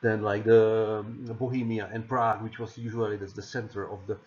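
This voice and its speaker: A man's voice: on the low side, level tone, brisk, a little mellow. A man from the Czech Republic with a Czech accent, a non-native speaker giving a recorded lecture over slides, stumbling over repeated words and fillers. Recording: dull lo-fi audio.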